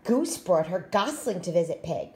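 A woman reading a children's picture book aloud in an expressive, deliberate way. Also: lowish voice. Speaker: storytime reader, school staff.

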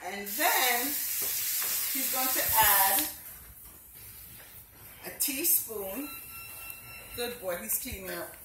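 A middle-aged woman with a fairly high voice talks through a home cooking demo step by step. She is recorded from across a roomy, echoing kitchen by a distant camera. A loud hiss and a sizzling skillet run under her voice. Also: expressive, very slow, pauses often. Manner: chatty, narrates each cooking step. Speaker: home cooking show host.

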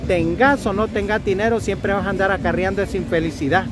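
A man interviewing someone outdoors in a city plaza, explaining things calmly and giving advice step by step. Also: high voice, a little fast, noisy background. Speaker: street interviewer. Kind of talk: advising interview talk.

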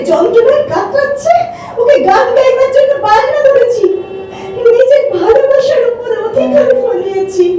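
A guilt-ridden, grieving lover in a melodramatic stage audio play. Her voice is extremely high and tearful, dwelling on repeated words. It carries from far off in an echoing hall. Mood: guilty anguish. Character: grief-stricken, guilt-ridden woman obsessed with lost lover. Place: multipurpose hall. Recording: noisy, very echoey hall, far off. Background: loud ambient noise.

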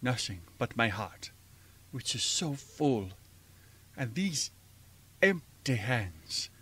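An actor playing a gentle, earnest older professor with a European accent and halting, formal speech in a radio drama. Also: male voice, medium pitch, big pitch swings, slightly rough, full character voice. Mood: shy, halting. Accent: foreign European. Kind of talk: old-time radio drama acting.

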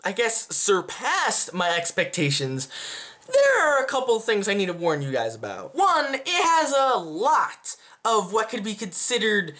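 A man's voice: high voice, a little slow. A young man giving a casual, chatty movie review, rambling and interrupting himself as he goes. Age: young adult. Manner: animated, rambling, self-interrupting. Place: small plain room at home.

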